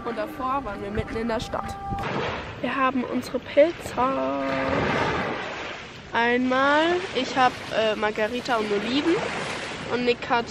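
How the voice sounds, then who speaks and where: Junge Frau plaudert verspielt, etwas hoch. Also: female voice, on the high side, a little slow, over loud music. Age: young adult. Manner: chatty, playful, addresses viewers directly. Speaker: vlogger. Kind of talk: casual travel vlog chat. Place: outdoors and in a car on a road trip. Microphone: handheld camera at arm's length. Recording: outdoor noise from traffic and wind.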